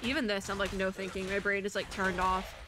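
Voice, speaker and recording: A woman's voice: medium pitch, flat delivery, a little fast, noisy background. A young woman casually talking through a video game, chatty and rambling, with a stuffy nose. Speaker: streamer. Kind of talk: casual gameplay commentary.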